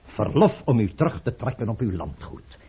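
An actor playing a suspicious, autocratic tsar, imperious and abrupt, in a radio play. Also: male voice, on the low side, sing-song, moderate pace. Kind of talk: Dutch radio play acting. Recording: noisy, tinny old radio recording.

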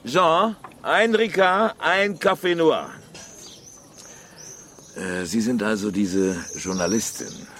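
A man's voice: mid-range voice, slow, pauses often, fairly bright tone, a little hiss or hum. An actor playing a self-righteous, hostile village hunting-club man in a radio crime drama, blustering and hemming. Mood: evasive, sneering. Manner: hesitant fillers, sneering chuckles, menacing bluster.

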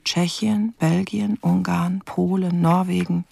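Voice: medium pitch, little pitch movement, slow pace, vocal fry, mellow, a little hiss or hum. An actor playing a terse investigator in a radio crime drama, listing evidence in clipped phrases and musing aloud in questions. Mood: sober, pondering. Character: terse, probing investigator going through a dead man's things. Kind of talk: radio crime drama, first-person narration.

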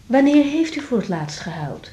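An actress playing a young, sensitive, tired woman doctor in a radio drama, speaking gently and reflectively with a Netherlands Dutch accent. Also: high-pitched, sing-song, normal speed, breathy edge, exaggerated voice. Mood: caring, calm. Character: young female doctor, weary, sensitive, caring. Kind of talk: radio drama acting, intimate diary monologue and dialogue.

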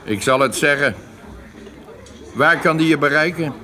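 An actor playing a terse, matter-of-fact confederate in a covert café conversation in a radio play. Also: male voice, fairly high pitch, unhurried, bright, forceful tone. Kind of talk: radio drama dialogue. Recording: radio play with busy chatter behind. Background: café chatter.

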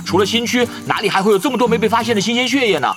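High, rapid-fire chatterbox young gamer. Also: male voice, fairly bright tone, soft background music. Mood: excited, pressing. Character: cocky talkative young male gamer, excitable and nagging. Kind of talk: Chinese audio drama acting.

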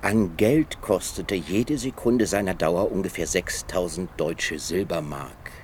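An actor playing a grave, defiant professor in a radio drama, with a solemn, literary delivery. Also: male voice, low voice, measured pace, slight background noise. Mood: grave narration. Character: grave, defiant male professor chronicling a trial.

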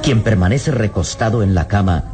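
A man narrating a radio serial from a script in a solemn, suspenseful, dramatic style with deliberate phrasing. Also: lowish voice, darkish tone. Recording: old radio drama with prominent music behind.

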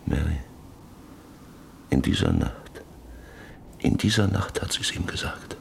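An actor playing a troubled farmer father in a German radio drama, recalling the past in halting, repetitive phrases. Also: extremely low pitch, huge pitch swings, creaky, a little hiss or hum, full character voice. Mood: brooding, remembering. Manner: halting, repetitive, brooding delivery. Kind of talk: German radio play acting, reflective monologue.

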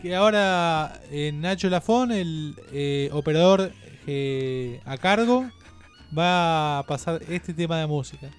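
A male radio interviewer with a Rioplatense accent and a clear, slightly high voice. He speaks informally and haltingly, often repeating his phrases to find the question. Faint guitar music plays behind him. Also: expressive. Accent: Rioplatense Spanish. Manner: halting, circles back and repeats himself.

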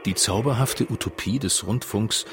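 A man's voice: lowish voice, moderate pace, music in the background. A man narrating a scripted radio documentary.

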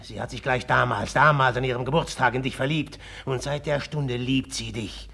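An actor playing a jealous, passionate young merchant in a 1950s radio drama, speaking slow and heavy, then flaring up. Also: male voice, mid-range voice, fairly even intonation, pushed and bright. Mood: agitated. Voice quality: gravelly, husky.

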